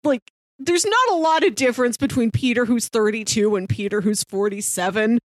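A woman's voice: fairly high pitch, brisk, no echo. A woman co-hosting a casual podcast discussion of a TV show, talking in an enthusiastic, appreciative way. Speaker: podcast co-host.

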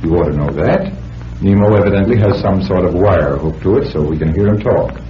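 An American actor playing a confident, unflappable magician hero in a radio mystery, breezy and wry. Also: male voice, deep voice, brisk, natural voice. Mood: calm, reasoning. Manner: breezy, wry, self-assured. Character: confident, unflappable male stage magician hero, wryly amused. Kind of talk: old-time radio mystery acting. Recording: muffled, noisy old radio transcription.

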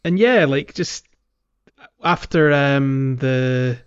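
A man co-hosting a podcast, chatting casually about a video game in a halting, conversational way. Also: mid-range voice, clean tone, dull audio. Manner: halting, peppered with "like" and "you know". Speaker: podcast co-host.

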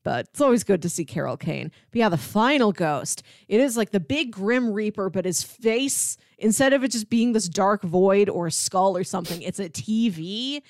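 A woman's voice: medium pitch, expressive, normal speed, few pauses, darkish tone, dry recording, clean studio sound. A woman co-hosting a casual, conversational discussion of films. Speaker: podcast co-host.

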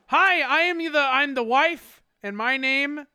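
A man's voice: extremely high pitch, slow, voice pushed up, heavily acted. A man putting on a prim billionaire's wife. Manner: hesitant, prim, trailing off quietly. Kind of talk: improv comedy scene.

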